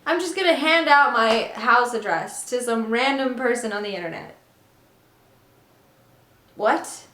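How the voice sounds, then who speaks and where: A woman's voice: fairly high pitch, expressive, a little slow, pushed and bright, reverberant. A woman in her twenties whose first languages are Russian and Ukrainian, vlogging from home and reading emails aloud with sarcastic, mocking asides. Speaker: artist and vlogger. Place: home room, indoors.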